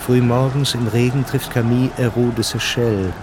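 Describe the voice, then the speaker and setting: A man's voice: medium pitch, steady tone, normal speed, noisy background, lightly acted. A man reading scripted narration for a radio drama. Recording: narration mixed with loud music and effects.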